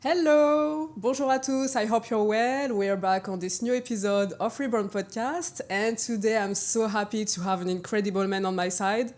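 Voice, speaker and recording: A young woman with a French accent, hosting a podcast in a bright, enthusiastic way that is full of laughter. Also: fairly high pitch, normal speed, few pauses. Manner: upbeat, enthusiastic, laughs often. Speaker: podcast host. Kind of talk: casual interview hosting.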